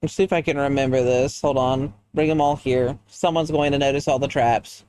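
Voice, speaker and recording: Smooth-voiced man chatting on stream. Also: medium pitch, fairly even intonation, brisk, darkish tone, dry recording. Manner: rambling, reacts to chat, goofy asides. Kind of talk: casual chat while gaming.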